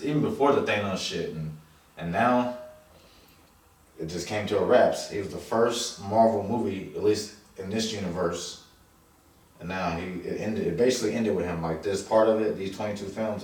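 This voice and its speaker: A man's voice: deep voice, normal speed. A man in his thirties talking loosely and casually about a film, with slang and emphatic swearing. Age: 30s. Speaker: film review podcast co-host. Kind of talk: casual chat, film review. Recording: roomy echo, distant microphone.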